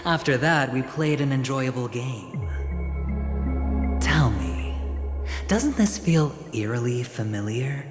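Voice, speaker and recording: A man voicing an enigmatic, calmly sinister figure in a video game, speaking with deliberate, theatrical menace. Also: medium pitch. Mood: ominous, wistful. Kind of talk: dramatic video game voice acting. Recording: long hall reverb, loud music behind.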